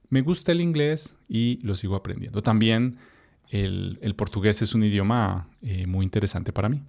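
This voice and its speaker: A young man from Colombia with a Colombian Spanish accent, a Spanish teacher reading a text for learners in a relaxed, chatty way, with fillers and asides to the viewer. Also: mid-range voice.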